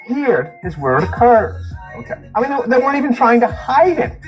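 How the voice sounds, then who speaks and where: A man with a fairly high, animated voice lectures emphatically. He explains meanings point by point, with faint music underneath the recording. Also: a little fast. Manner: rhetorical questions, explanatory, emphatic. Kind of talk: lecture on word meanings and symbolism. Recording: played clip with music underneath.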